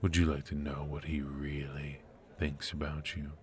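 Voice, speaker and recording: A man playing a silky, mocking dark warlord in an intimate ASMR roleplay, with theatrical, taunting, drawn-out delivery. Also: very deep voice, level tone. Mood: sly, teasing. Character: dark male warlord villain, silky, mocking, menacing dream invader. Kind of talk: ASMR roleplay, intimate villain monologue addressed to the listener.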